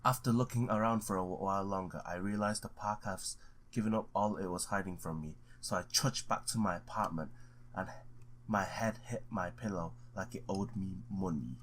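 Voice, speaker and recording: Low-voiced man reading a game aloud. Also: moderate pace, fairly bright tone. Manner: informal, stumbles over read words. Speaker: gamer reading a visual novel aloud. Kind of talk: let's play, reading story text aloud with casual asides.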